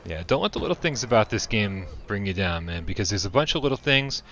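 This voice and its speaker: A man's voice: fairly low pitch, lively intonation, fast talker, fairly bright tone, dull audio, a little hiss or hum. A man casually commenting on a VR shooter during a livestream, chatty and upbeat, laughing often and full of fillers. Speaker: VR game streamer.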